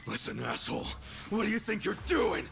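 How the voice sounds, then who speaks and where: A man's voice: sits high, very animated, a little fast, gravelly, full character voice. An actor playing a hot-headed, dogged male street brawler in a video game cutscene, speaking in a brash, blunt way. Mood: indignant. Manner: brash, blunt, emotional, profane. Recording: music under the voice. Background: music.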